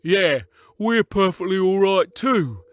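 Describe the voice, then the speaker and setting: A man's voice: sits high, lively intonation, slow pace, no room sound. A man voicing a tiny, smug, hardy tardigrade. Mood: smug, untroubled.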